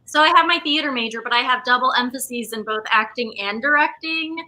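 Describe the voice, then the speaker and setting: A woman in her twenties with a very bright, slightly high voice. She explains things in a loose, informal interview style. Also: a little fast, poor audio. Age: 20s. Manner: informal, conversational. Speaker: theater company co-founder, interview guest. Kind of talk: casual interview chat.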